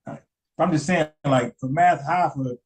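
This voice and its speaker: A man's voice: fairly high pitch, unhurried. A man giving casual live-stream commentary, rambling, repeating words and asking his chat questions. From United States.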